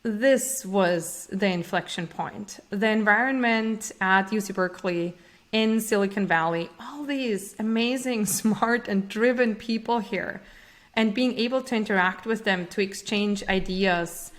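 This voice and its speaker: A woman's voice: medium pitch, unhurried. Austrian-born woman, earnest startup founder. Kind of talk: interview answers.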